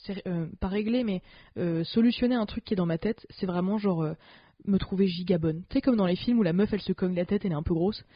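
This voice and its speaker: A woman's voice: on the low side, fast talker, no echo. A woman co-hosting a podcast in casual chat, talking loosely with slang, fillers and restarts. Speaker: podcast co-host. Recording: dull lo-fi podcast sound.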